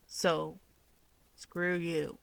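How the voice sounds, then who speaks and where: A woman's voice: lowish voice, flat delivery, very slow, creaky, no echo, full character voice. Sarcastic, short-tempered young man acted by a female narrator, curt, scornful storybook dialogue, close dry recording. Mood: snappish.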